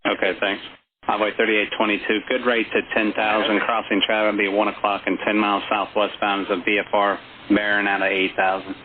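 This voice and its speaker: A man's voice: fairly low pitch, completely flat delivery, fairly quick. A man working as an air traffic controller, giving instructions over a radio. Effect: radio transmission. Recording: muffled band-limited radio recording with chatter behind.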